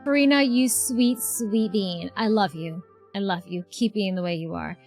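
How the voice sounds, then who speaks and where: A woman's voice: medium pitch, normal speed, a little mellow. A woman streamer giving casual, gushing, slangy live reactions to a game. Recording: game music behind the voice.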